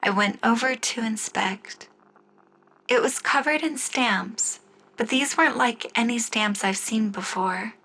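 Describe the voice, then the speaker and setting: A woman narrating a scripted first-person story in the style of an old-time radio show, with deliberate storytelling phrasing. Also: medium pitch, fairly bright tone, low-quality recording. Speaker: writer and narrator of an audio story.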